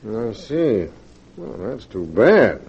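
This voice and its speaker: A man's voice: fairly low pitch, very animated, slow, a little mellow, husky, heavily acted. An actor playing an evasive wealthy man, hesitant and excuse-making, in a 1940s radio drama. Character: evasive wealthy male old friend. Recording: dull vintage radio recording.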